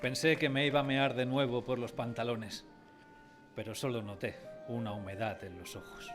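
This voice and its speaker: A man playing a sensitive grown man who recalls his timid boyhood, in expressive, dramatised first-person storytelling. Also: medium pitch, normal speed, lightly acted. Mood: tender, calm. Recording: prominent music behind the voice.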